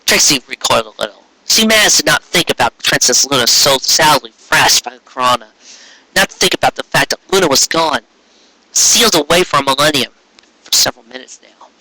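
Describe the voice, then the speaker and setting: A man reading a story aloud in an expressive live style, with a casual way of talking. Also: medium pitch, brisk, no echo, poor audio. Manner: expressive, acting out character dialogue. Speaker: reader of fan fiction.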